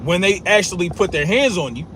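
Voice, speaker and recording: Man, fairly high voice, stutters, blunt and profane; noisy outdoor recording. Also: expressive, fairly quick. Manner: forceful, profane, repetitive, with a stutter. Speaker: commentator. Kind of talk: blunt opinion monologue.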